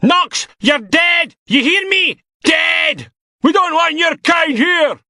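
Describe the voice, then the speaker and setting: A man voicing an angry mob of men and women, shouting chants and threats. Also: very high-pitched, expressive, takes it slowly, no echo, studio quality, voice pushed up, full character voice. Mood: furious.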